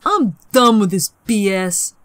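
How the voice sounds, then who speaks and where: A woman's voice: medium pitch, sing-song, takes it slowly, fairly bright tone, no echo. A woman voicing a bratty, mean seventh-grade boy in an audiobook, brash and mocking with slangy talk. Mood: mocking, fed up.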